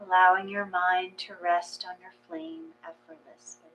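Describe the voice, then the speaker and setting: A woman reading a guided meditation in a gentle, measured, calming way. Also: fairly low pitch, rough recording. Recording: clear mains hum.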